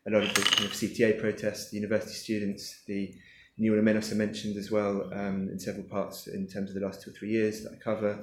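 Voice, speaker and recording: Man, author, hesitant, thinking aloud, ums and kind-ofs, lowish voice, echoey room. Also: completely flat delivery, quick pace, few pauses, slight background noise. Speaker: author at his book launch. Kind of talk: answering audience questions. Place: lecture room with an audience.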